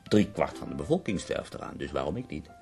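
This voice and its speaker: An actor playing a dying, bedridden poet in a radio play, theatrical and wry, complaining with witty self-pity. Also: male voice, rumbling low, very animated, fairly quick, vocal fry, darkish tone, soft background music, exaggerated voice. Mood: sardonic.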